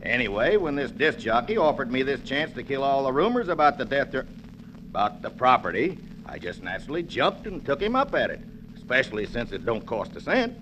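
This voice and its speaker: A persuasive, folksy real estate salesman, acted by a man in a 1940s radio drama. His mid-pitched voice rises and falls in a lively way. A faint engine hum sits under the old recording. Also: fairly quick, lightly acted.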